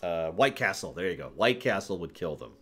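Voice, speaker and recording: Animated man streaming with music behind him. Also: sits low, fairly quick. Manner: animated, chuckles through his points, acts out examples. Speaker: livestream host answering viewer questions. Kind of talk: casual off-the-cuff livestream commentary.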